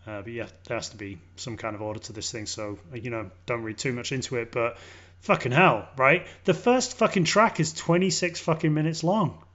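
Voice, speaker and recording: A man with an English accent chatting casually as a podcast host about music, sweary, enthusiastic and halting. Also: medium pitch, fairly bright tone. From born in London, grew up in Leeds, Yorkshire. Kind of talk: casual music review chat. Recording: dull lo-fi audio.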